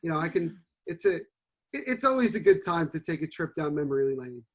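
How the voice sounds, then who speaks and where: Husky young man telling stories. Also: on the high side, brisk. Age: 20s. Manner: animated storyteller, acts out quotes. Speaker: podcast co-host. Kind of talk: casual chat.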